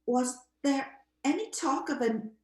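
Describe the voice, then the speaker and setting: An actress playing an indignant, inquisitive middle-aged sister in an old-time radio gothic drama, with pressing, gossipy questions. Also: female voice, sits high, a little slow, reverberant.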